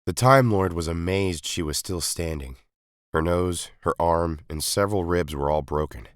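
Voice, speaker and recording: A man's voice: low-pitched, moderate pace, clean tone, no echo, studio quality. A man reading a scripted adventure story as an audiobook narrator.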